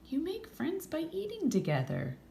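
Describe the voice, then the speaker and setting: A commanding female deer from a storybook, voiced by a woman reading aloud. She speaks emphatically, pitched up and lively, in a roomy space. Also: normal speed, vocal fry, slight background noise, full character voice. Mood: confident. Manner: emphatic, exclaiming. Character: bold, commanding female deer ship captain.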